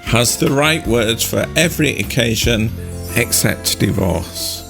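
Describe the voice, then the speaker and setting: A chummy wedding toastmaster, played by a man, pitches himself in a spoof local radio ad. His delivery is slow and deliberate, with a gravelly creak, over clear plucked guitar music. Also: expressive. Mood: salesmanlike, reassuring. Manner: salesmanlike, deliberate patter. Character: chummy small-town wedding toastmaster plugging his services. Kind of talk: spoof local radio advert in audio drama.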